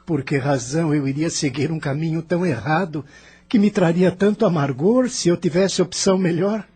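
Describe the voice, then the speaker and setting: A man's voice: on the high side, normal speed, dark tone, slightly rough. A Brazilian man acting a bitter, self-justifying spirit in the afterlife in an audio drama, defensive and questioning. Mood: aggrieved, protesting. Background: music.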